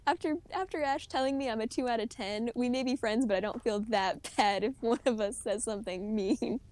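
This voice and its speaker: A young woman streamer with a high voice, chatting casually and rambling, often giggling and restarting her sentences. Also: fairly quick, a little mellow, no room sound. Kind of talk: casual gaming chat.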